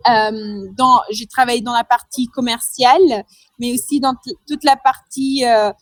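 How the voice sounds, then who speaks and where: A young woman in her twenties from Madagascar answers an interviewer's questions. She hesitates often, restarting her sentences and slipping in English terms. Her voice is high and the recording is dry and close. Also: fairly even intonation, measured pace, no echo. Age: 20s. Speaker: interview guest.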